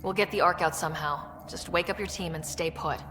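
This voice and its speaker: A woman's voice: lowish voice, steady tone, brisk, noisy background, natural voice. A woman voicing a determined, level-headed young space explorer leader in a video game. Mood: steady.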